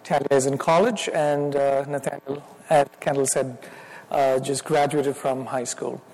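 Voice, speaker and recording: A middle-aged man from India with an Indian accent gives a modest, halting church talk full of fillers. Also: medium pitch, little pitch movement, darkish tone. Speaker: guest speaker. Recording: hall reverb.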